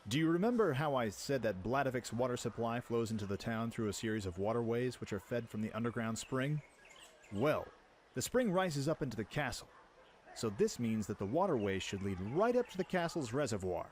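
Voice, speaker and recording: A man acts out a bold, cheeky young prince for a radio play. His delivery is very animated, leaping up and down in pitch, over faint background noise. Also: brisk, natural voice. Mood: excited.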